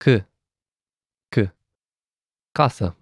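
Romanian-accented male tutor, unhurried careful diction, dry studio sound. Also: no echo. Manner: measured, careful enunciation of letters. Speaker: language teacher. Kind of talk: scripted language lesson.

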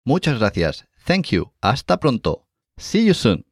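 Man, language teacher, clear modeled phrases, expressive pitch, dry close sound. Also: moderate pace, no room sound. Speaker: Spanish teacher, co-host. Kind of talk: scripted language lesson.

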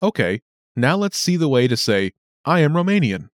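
A man hosting a scripted language lesson with clear, upbeat announcer delivery. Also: fairly high pitch, very animated, moderate pace, dry recording, studio quality. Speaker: lesson host.

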